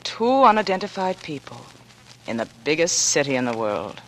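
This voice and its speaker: A faintly noisy vintage radio play features a young American woman doing detective work. She is plucky and skeptical, pressing her points in a brisk, insistent, questioning manner. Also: low-pitched, very animated. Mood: assured, sharp. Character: plucky, skeptical young woman, a sharp-witted amateur sleuth. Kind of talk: 1940s radio acting. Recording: noisy old radio drama recording.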